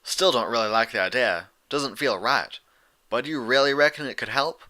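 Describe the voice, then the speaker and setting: Man voicing a plain-spoken country mare, forceful tone. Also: moderate pace, lightly acted. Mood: doubtful. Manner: folksy, hesitant, low-key. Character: plain-spoken, cautious, folksy female country pony.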